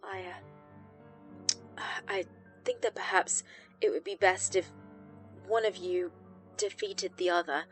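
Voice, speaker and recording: A frantic female doctor, voiced by a woman narrator in a horror audio story. She speaks in halting, formal phrases as her composure cracks, over an intercom with faint music underneath. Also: fairly low pitch, fairly even intonation, a bit bright. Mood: pained, hesitant. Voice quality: cracked, breathy.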